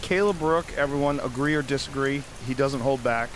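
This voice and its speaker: A man's voice: mid-range voice, brisk, lightly acted. A man playing a level-headed, skeptical host of an apocalypse podcast drama, conversational and probing. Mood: sober signing off. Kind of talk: fictional apocalypse podcast interview. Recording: noisy audio with rain. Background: rain.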